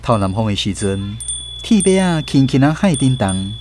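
A man with a Taiwanese accent giving expressive scripted narration for a children's story. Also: mid-range voice, normal speed, a little hiss or hum.

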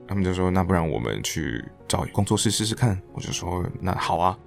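A man's voice: deep voice, sing-song, brisk, creaky, faint music behind. A man with a Taiwanese Mandarin accent, casually telling stories as an interview guest. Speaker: interview guest, theatre director and voice actor. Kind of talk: casual conversational storytelling.

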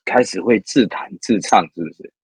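A man's voice: on the low side, a little fast, slightly rough, no echo. A man hosting an interview, asking friendly questions with many back-channel hums. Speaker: host. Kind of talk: casual interview chat.

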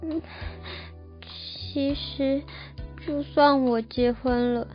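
Casting: actress as a clingy, scheming ex-girlfriend, pleading and coquettish, slow radio-play delivery with music behind. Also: high voice, fairly even intonation. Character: clingy, manipulative engaged ex-girlfriend, plaintive and coy. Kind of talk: audio drama acting. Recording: slightly dull audio with music.

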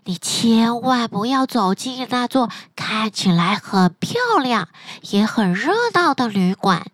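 A woman voicing a small, eager, helpful talking fox in a children's bedtime story, speaking in a high, hurried voice. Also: fairly bright tone, no room sound. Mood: urgent pleading. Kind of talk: storybook character voice.

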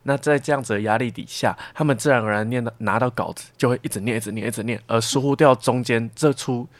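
A man's voice: mid-range voice, fast, dry recording. A young man with a Taiwanese Mandarin accent, giving reflective, explanatory answers as a student being interviewed. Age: young adult.

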